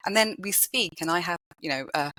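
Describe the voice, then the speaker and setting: A woman's voice: expressive, a little fast, sharp tone, no room sound, low-quality recording. A woman talking as a podcast guest, thoughtful and full of small restarts and "you know"s. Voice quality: high-pitched voice. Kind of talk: casual interview conversation.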